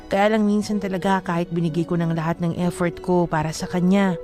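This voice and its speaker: A woman's voice: fairly low pitch, flat delivery, a little fast, warm tone. A woman co-hosting radio, moving between dramatic letter reading and playful, casual banter. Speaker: radio co-host and letter reader. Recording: music bed under the voice.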